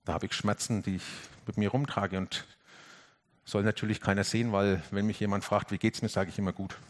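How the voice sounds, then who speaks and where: A man giving a reflective sermon, earnest, rising to emphatic lifts and sinking to hushed, quiet passages. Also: fairly low pitch, flat delivery, fairly quick. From Franconia, Germany.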